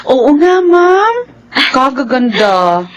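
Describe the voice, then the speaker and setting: A woman's voice: sits high, sing-song, extremely slow, dull audio, exaggerated voice. A woman acting an earnest, polite, timid young Filipino housemaid in a radio drama.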